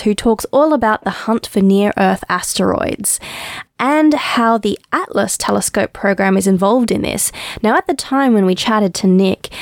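A woman's voice: medium pitch, darkish tone, no room sound, clean studio sound. A woman in her thirties with an Australian accent, co-hosting a casual podcast chat and talking in a chatty way full of ums. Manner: chatty, filler-heavy with frequent ums. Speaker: podcast co-host.

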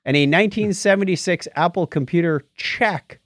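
A man's voice: high voice, moderate pace, no echo, clean studio sound. A man hosting a podcast, chatting casually and playfully about tech history. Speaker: podcast host.

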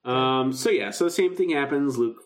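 A man's voice: medium pitch, normal speed. A man co-hosting a podcast in casual, enthusiastic chat, often slipping into acted-out quotes. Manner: enthusiastic, acts out quoted dialogue. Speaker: podcast co-host.

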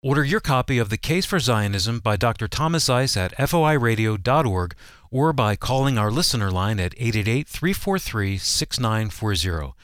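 A man reading a scripted promotional announcement for radio in a measured, clearly enunciated way. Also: mid-range voice, lively intonation, a bit bright, no echo, clean studio sound.

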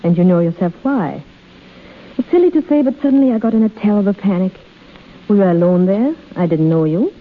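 A woman's voice: medium pitch, animated, a little fast, close to an everyday voice. Woman acting elegant swindler countess, cool and dismissive, teasing, 1930s radio drama, dull vintage broadcast sound. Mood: charming, coy.

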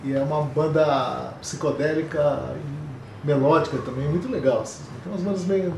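A man co-hosting a podcast in casual music chat, rambling and relaxed, laughing often. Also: fairly high pitch, moderate pace, gravelly. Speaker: podcast co-host. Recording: echoey room with faint noise.

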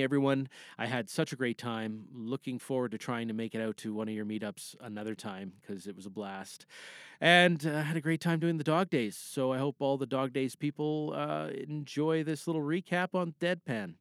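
A man's voice: medium pitch, normal speed, a bit bright, no room sound, clean studio sound. A man giving a casual, chatty, unscripted recap as a podcast host, rambling from one point to the next.

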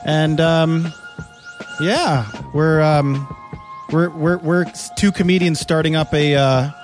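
A man's voice: a little high, steady tone, takes it slowly, faint music behind. Rambling man co-hosting a comedy podcast. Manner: rambling, lots of fillers and restarts, crude jokes. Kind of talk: casual banter podcast. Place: apartment room.

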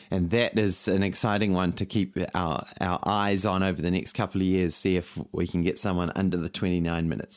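Croaky-voiced male running coach. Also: fairly low pitch. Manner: relaxed, encouraging, repeats words. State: croaky from a cold. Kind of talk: guided workout talk.

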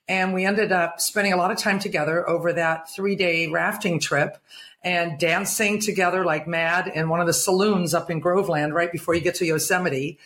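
A woman's voice: on the low side, fairly bright tone, a little hiss or hum. A woman in her seventies from England, long settled in the United States, telling personal stories as an interview guest. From England, later United States. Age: 70s. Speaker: interview guest, retired nurse.

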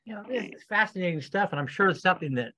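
A man's voice: high-pitched, lively intonation, quick pace, sharp tone. A man hosting a podcast interview in a conversational style. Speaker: podcast host.

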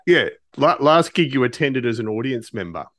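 A man's voice: medium pitch, very animated, normal speed, a bit bright. A man hosting a casual interview, chatting in a joking, teasing manner. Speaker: interviewer, podcast host.

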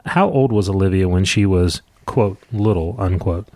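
A man chatting casually as a podcast-hosting father, teasing in a dry, deadpan way. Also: low-pitched, normal speed. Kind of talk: casual, teasing chat with child.

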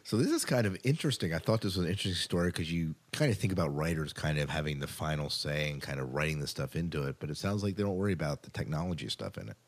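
A man's voice: very deep voice, animated, fast, hardly any pauses. A man co-hosting a podcast, giving entertainment news in a casual, conversational way with informal asides. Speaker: podcast co-host.

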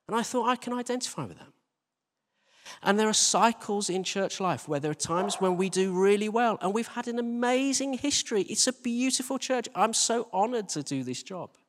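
British guy preaching, kinda high voice for a man, earnest and chatty, big echoey church hall. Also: a bit bright. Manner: earnest, conversational, addressing listeners as folks. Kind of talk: informal sermon. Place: large church hall, live service. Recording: reverberant hall.

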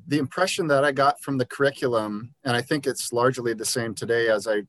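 A man speaking at a middle pitch, earnest and explanatory as a podcast guest. He talks in long, careful stretches, often pausing on ums and starting his sentences again. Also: fairly bright tone. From Liberia. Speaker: podiatrist guest. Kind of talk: conversational interview.

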